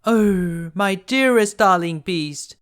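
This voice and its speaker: Young storybook heroine, voiced by a man: raised pitch, tender, devoted, polite. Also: slow, a bit bright, clean tone. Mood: anguished, loving. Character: sweet, devoted young woman of a fairy tale. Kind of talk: storybook character voice.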